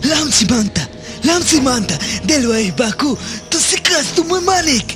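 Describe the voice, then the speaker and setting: Very high-pitched excitable islander servant. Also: normal speed, music in the background. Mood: excited, urgent. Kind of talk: radio play acting.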